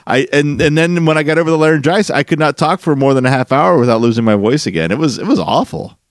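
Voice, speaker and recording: A man co-hosting a podcast, telling a long story in a rambling, animated way with restarts and a slightly nasal voice. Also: on the high side, fast, dry recording, studio quality. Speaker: podcast co-host. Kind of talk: casual storytelling chat.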